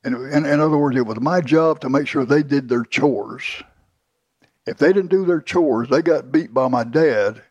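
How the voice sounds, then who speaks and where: Older man, husky and plain. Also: medium pitch, normal speed, a little mellow, no echo, lo-fi sound. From Houston, Texas. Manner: plain, repetitive storytelling with restarts. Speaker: interviewee. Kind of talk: oral history interview.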